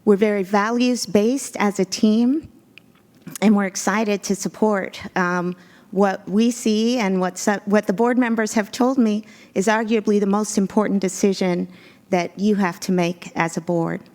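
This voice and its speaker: A woman whose first language is Spanish, giving a measured, earnest presentation to a school board as an executive search consultant. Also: mid-range voice, steady tone, a little mellow. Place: board meeting room.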